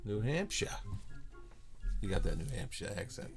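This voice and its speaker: A man's voice: fairly low pitch, sing-song, moderate pace, faint music behind. A man hosting a carving class video, interviewing his student in a joking, dry, teasing way. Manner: teasing, dry humor, chuckles often. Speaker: carving instructor and channel host.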